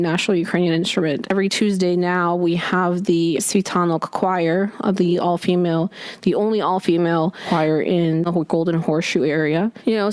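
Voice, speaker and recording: A young woman from Poland speaks in a lowish, gentle voice, answering an interviewer candidly. She talks in a thoughtful, halting way, often restarting her sentences. The recording is dry and close, with no room sound. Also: clear, resonant tone, dry recording.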